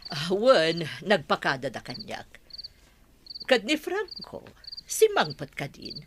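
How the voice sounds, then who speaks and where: A woman's voice: on the low side, wildly swooping pitch, slow pace, full character voice. A woman with a Filipino Ilocano accent playing a worried, weary older mother who runs a family business in a radio drama. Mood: calm. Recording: noisy track with clicks and insect sounds.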